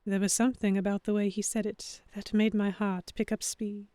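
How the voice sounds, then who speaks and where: An earnest, anxious young heroine voiced by a woman tells her story in a mid-pitched voice. The delivery is measured and expressive, like a dramatic audiobook, and the sound is very dry and close. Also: no echo, natural voice. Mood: tense, introspective. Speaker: narrator and lead character. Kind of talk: first-person dramatic audiobook-style narration.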